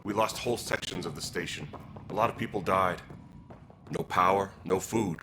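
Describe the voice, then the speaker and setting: A man playing a weary, grim militia leader in a science-fiction game, speaking tersely and gravely with a gravelly voice. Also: sits low, moderate pace, recorded from a distance, background noise, natural voice.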